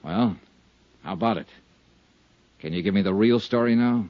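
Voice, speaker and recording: A man's voice: on the low side, moderate pace, no echo, lightly acted. A man playing a hard-boiled insurance investigator. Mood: firm. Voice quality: firm, pressing, hard-boiled. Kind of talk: 1950s radio detective drama. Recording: dull old radio recording.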